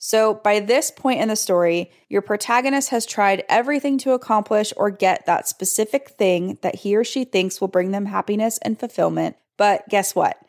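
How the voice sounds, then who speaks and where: A woman's voice: mid-range voice, moderate pace, hardly any pauses, a little mellow. A woman teaches fiction writing, speaking earnestly as she walks listeners through a story beat. Her tone is explanatory and direct. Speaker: writing coach. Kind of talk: teaching clip.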